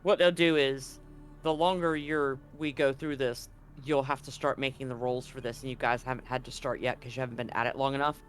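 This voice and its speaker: A man narrating a tabletop roleplaying game as game master, casually and somewhat haltingly, feeling for his words. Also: medium pitch, flat delivery.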